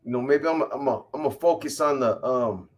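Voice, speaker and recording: A man's voice: medium pitch, a little fast. A man in his fifties hosting a casual livestream, answering viewer comments in a laid-back, profane, slang-heavy way. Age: 50s.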